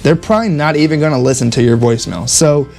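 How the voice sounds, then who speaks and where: A man with a fairly high-pitched voice speaks in a casual vlog style, coaching the listener. His delivery is conversational and emphatic, and he often repeats a phrase to make it stick. Music plays behind him. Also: fast talker, vocal fry, a little mellow. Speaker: insurance agent giving sales tips. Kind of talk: casual instructional vlog monologue.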